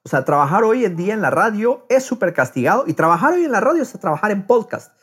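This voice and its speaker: A man's voice: high voice, big pitch swings, fast talker, a bit bright, breathy edge. A man with a Latin American accent chatting casually and expressively on a podcast, often repeating words as he thinks aloud. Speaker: podcast host.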